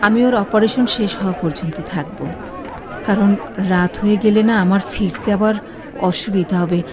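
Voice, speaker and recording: An actress playing a worried, gentle, apologetic mother of a hospital patient in a Bengali radio drama. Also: mid-range voice, normal speed, a crowd in the background, natural voice.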